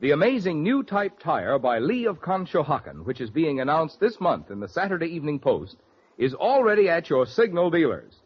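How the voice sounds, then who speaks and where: A man's voice: a little high, very animated, normal speed, slightly rough. A man working as a 1940s radio announcer, reading advertising copy in a polished, emphatic way. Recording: dull vintage radio recording.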